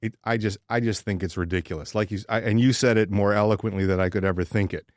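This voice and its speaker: Low-voiced man talking sports, earnest and emphatic. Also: steady tone, quick pace, no echo, clean studio sound. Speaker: podcast co-host. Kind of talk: casual sports talk, giving opinions.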